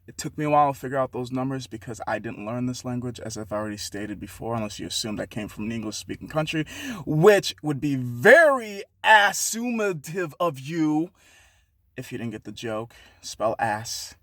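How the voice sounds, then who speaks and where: A young man from Haiti rambles through a casual vlog, swearing freely and laughing at his own detours. His voice sits at an ordinary pitch for a man. It is recorded dry and close, with no room sound. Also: sing-song, moderate pace, a bit bright, no room sound. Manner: rambling, profane, self-mocking, with many restarts. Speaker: vlogger. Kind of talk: casual rambling monologue to camera.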